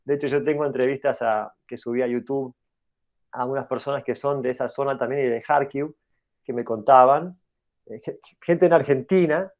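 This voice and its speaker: A middle-aged man who grew up in New York speaks as an interview guest in an even, mid-range voice. He talks at length and pauses often, filling gaps and restarting his sentences. The recording sounds dull and lo-fi. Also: medium pitch, vocal fry. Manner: hesitant, many fillers and restarts. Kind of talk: storytelling explanation in an interview. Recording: dull lo-fi audio.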